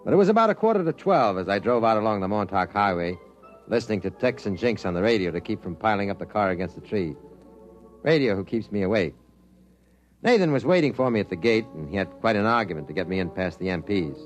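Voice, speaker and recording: A man's voice: fairly low pitch, expressive, fast talker, faint music behind, close to an everyday voice. An actor playing a wry, worldly male science reporter, with dry delivery in 1950s radio drama style. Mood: wry narrating.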